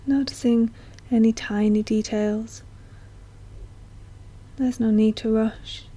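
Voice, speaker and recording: A woman guiding a meditation in a soft, breathy, often near-whispered voice, speaking gently and slowly with long, soothing pauses. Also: a little high, steady tone. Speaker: guided meditation teacher. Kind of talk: slow guided meditation narration.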